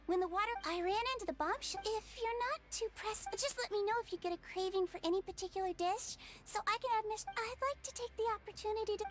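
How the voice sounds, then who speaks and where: A woman voicing a small, cheerful, childlike fairy companion with a squeaky voice in an anime-style game. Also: fast talker, few pauses. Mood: chatty, earnest.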